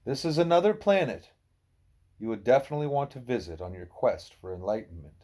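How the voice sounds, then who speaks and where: A man voicing a wise, patient gorilla teacher who lectures in a deliberate, formal way. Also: mid-range voice, animated, mellow, lightly acted. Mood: patient lecturing.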